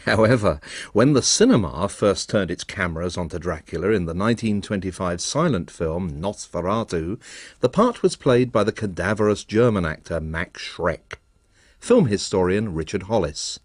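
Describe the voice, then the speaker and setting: Low-voiced male radio presenter. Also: animated, normal speed, no room sound, clean studio sound. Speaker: documentary presenter. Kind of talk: scripted radio narration.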